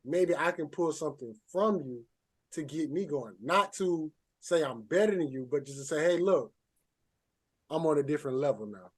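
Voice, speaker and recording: A man's voice: fairly high pitch, lively intonation, normal speed, husky. A man hosting a podcast in a casual interview style, speaking informally with slangy phrasing. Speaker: podcast host.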